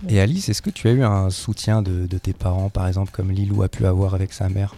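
A man's voice: low-pitched, fast talker, warm tone, a little hiss or hum. A man interviewing guests, hesitant and searching for his words. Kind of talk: relaxed interview questions.

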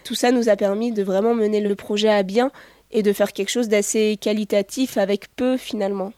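Clear, dry-recorded young woman. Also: medium pitch, fairly even intonation, fairly quick, mellow, no room sound. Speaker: interviewee, student researcher. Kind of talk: spontaneous interview answers.

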